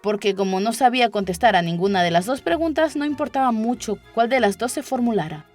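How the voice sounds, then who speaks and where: High-voiced woman narrating a storybook. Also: a little fast, darkish tone, soft background music. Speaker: audiobook narrator.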